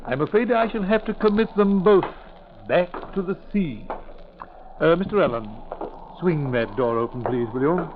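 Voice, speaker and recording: Courteous male ship's officer, higher-pitched, formal, measured and hesitant, vintage 1940s drama, narrow tinny sound. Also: expressive. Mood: matter-of-fact. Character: courteous, businesslike male ship's officer showing a grim find. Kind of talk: 1940s radio acting. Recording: tinny, hissy 1940s radio recording.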